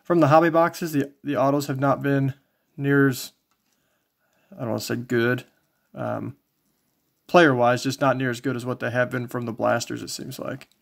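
A man casually commentating as he shows collectibles, in a low-key, folksy way, thinking aloud. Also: fairly even intonation, moderate pace, creaky, long pauses. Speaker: trading card collector and video host. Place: indoors, small home tabletop setup.

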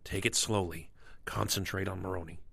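A man playing a weary, principled lawman in a noir radio drama, grave and measured. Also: low voice, steady tone, vocal fry, bright, forceful tone, slightly rough, no room sound, exaggerated voice. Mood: brooding.

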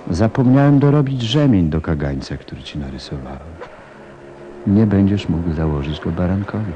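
A man playing a wistful pilot in an audio play, speaking a reflective, tender, halting monologue about a lost friend. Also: sits low, expressive, dark tone, highs cut off, a little hiss or hum. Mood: fond, animated. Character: wistful adult male pilot missing his lost young friend.

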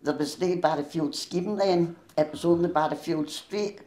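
Gruff older Glaswegian woman. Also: lowish voice, normal speed, mellow, reverberant. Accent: Glaswegian Scottish. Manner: chatty storyteller, laughs easily. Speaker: interviewee. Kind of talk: oral history interview. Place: small indoor room. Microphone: handheld mic held near her.

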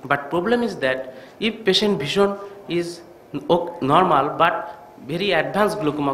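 A middle-aged man from Bangladesh, an eye surgeon giving a conference talk, speaking in an earnest, measured way at a podium microphone in a large hall. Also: a little high, a bit bright, slight background noise. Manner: earnest, measured, slightly halting. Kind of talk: conference lecture. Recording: echoey hall with faint ambience.

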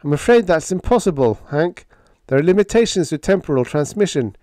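An earnest young male lieutenant from pulp science fiction, acted out by a male narrator. His voice rides high and swings widely, with formal, urgent emphasis. The sound is dry and close. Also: animated, fairly quick, dark tone, no room sound, lightly acted.

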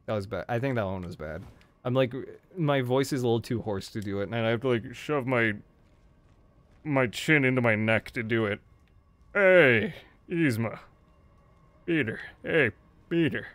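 A man with a hoarse voice, casually commenting on his gameplay and joking at the enemies on screen. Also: mid-range voice, brisk, dry recording. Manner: jokey, talks to enemies on screen. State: hoarse from screaming. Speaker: game streamer.

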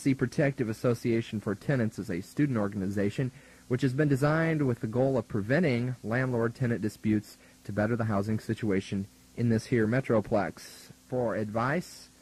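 A man's voice: lowish voice, darkish tone, breathy edge, poor audio. A young American man working as a student radio DJ, announcing music and chatting in a casual, wry manner. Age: young adult. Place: radio studio. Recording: 1980s college radio aircheck tape.

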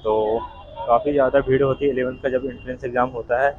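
A man's voice: mid-range voice, fairly even intonation, quick pace. Young man vlogging on a phone. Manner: chatty, repetitive, walks while filming. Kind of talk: casual vlog narration. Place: outdoors in city streets and a busy restaurant. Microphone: phone held at arm's length. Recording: dull lo-fi phone audio with crowd. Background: crowd chatter.

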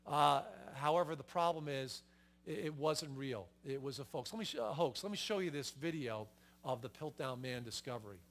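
A man's voice: mid-range voice, normal speed, fairly bright tone. A middle-aged man, a pastor, giving an explanatory, emphatic sermon from a church stage on a lapel mic, with fillers and restarts. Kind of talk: sermon with slides.

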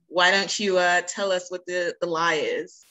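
A woman's voice: on the low side, fairly even intonation, a little slow, bright, forceful tone, rough recording. A woman hosting a casual group video call, playful, reacting aloud and urging people on. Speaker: host of a virtual icebreaker session.

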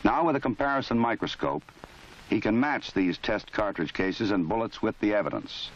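An American man reading formal scripted narration for a training film in a measured, authoritative, matter-of-fact way. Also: lowish voice, animated, slight background noise. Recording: dull lo-fi 1970s film soundtrack.

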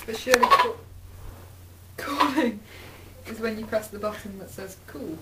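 A woman's voice: a little high, normal speed. A woman chatting casually in a living room, picked up by a handheld camera some distance away. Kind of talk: casual chat. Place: dim living room at night. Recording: roomy, distant, noisy camera microphone.

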